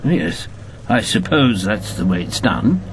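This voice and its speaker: A weary old man of about eighty, a former agent in a radio drama. He is hesitant and evasive, with a gravelly creak and slow, halting speech over a faint vehicle rumble. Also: sing-song, full character voice.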